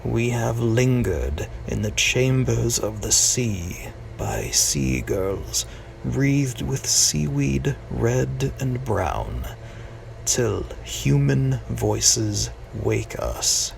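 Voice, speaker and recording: A man reciting poetry dramatically, with deliberate phrasing, long pauses and hushed drops. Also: medium pitch, big pitch swings, gravelly. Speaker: amateur poetry reader. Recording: distant mic with faint noise.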